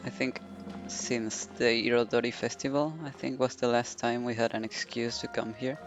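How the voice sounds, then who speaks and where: A man's voice: medium pitch, flat delivery, moderate pace. A man giving casual commentary as he streams a game. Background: game music.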